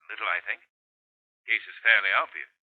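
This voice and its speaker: An actor playing a mysterious, polite crime fighter in a 1930s radio drama, speaking in a formal, measured, knowing way on an old muffled recording. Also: male voice, fairly low pitch, breathy edge, no echo. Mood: confident, knowing. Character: mysterious male crime fighter, polite, quietly self-assured.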